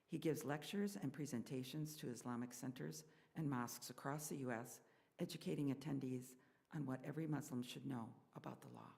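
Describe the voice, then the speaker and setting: A man's voice of medium pitch carries through a hall with a long reverb. He leads a service, reading and introducing to the congregation in measured, formal phrases. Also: creaky, darkish tone. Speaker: service leader introducing the guest speaker. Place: church hall.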